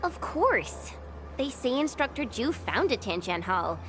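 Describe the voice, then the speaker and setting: An earnest young game heroine with a high, lively voice. She sounds friendly and caring, a devoted comrade, over prominent background music. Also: slow. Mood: earnest, concerned. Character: bright, caring, expressive young female comrade. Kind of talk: video game voice acting. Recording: loud game music behind. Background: loud music.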